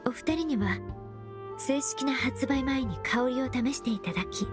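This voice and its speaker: Composed, courteous, higher-voiced young perfumer. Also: female voice, over music. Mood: polite, modest. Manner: courteous, precise, measured. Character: composed, polite young female perfumer, analytical and gentle. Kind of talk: anime game voice acting.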